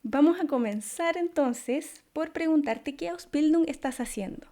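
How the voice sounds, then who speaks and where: A woman's voice: sits high, unhurried, mellow. A woman hosting an interview show, presenting and asking questions in Spanish. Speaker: podcast host and interviewer. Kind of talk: scripted introduction and interview.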